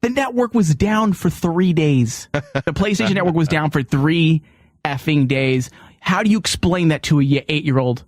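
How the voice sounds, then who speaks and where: A middle-aged man hosting a talk show with casual comic banter and animated, incredulous questioning. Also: on the high side, normal speed, no echo, studio quality.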